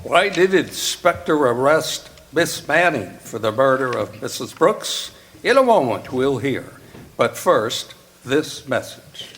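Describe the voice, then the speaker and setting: A man's voice: mid-range voice, very animated, slow, a bit bright, slight background noise. A man announcing in old-time radio style, presenting a mystery and its sponsor.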